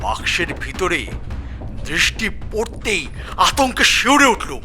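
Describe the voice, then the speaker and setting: An actor playing an excitable police constable dramatically recounting a grisly discovery in an audio story. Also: male voice, sits high, takes it slowly, over music, heavily acted. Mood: alarmed.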